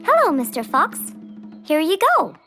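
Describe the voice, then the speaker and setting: A weary, courteous cartoon baker, acted by a woman. Her voice is very high and lively in melody, pitched for small children, over clear background music. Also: normal speed. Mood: weary, polite. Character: Missus Flutes, tired polite female cartoon baker.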